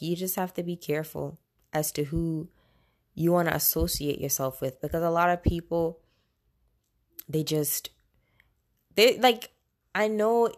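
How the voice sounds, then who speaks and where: A woman in her late twenties talking casually and candidly as a podcast host, conversational and opinionated in a personal monologue. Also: low voice. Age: late 20s.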